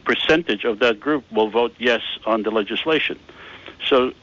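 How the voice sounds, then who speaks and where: Halting man explaining on radio. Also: medium pitch, a bit bright. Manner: halting, heavy with "uh" fillers, patient explaining. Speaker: guest, founder of a peace initiative. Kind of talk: radio interview. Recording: slightly dull lo-fi radio sound.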